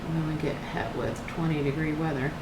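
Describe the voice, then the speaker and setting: An older woman with a slightly croaky voice, talking casually and softly while she paints, thinking aloud with trailing restarts and sharing a faith reflection. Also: sits low, little pitch movement, brisk. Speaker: painting channel host. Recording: echoey room with air-conditioner hum.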